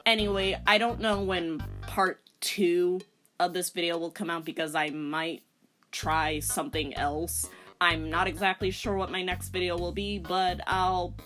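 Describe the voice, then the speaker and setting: A woman's voice: lowish voice, little pitch movement, measured pace, fairly bright tone. A woman hosting a comedic dramatic reading as a voice-over, narrating theatrically and breaking into informal, incredulous commentary. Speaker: host, story reader. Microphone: recorded voice-over. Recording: music under the voice.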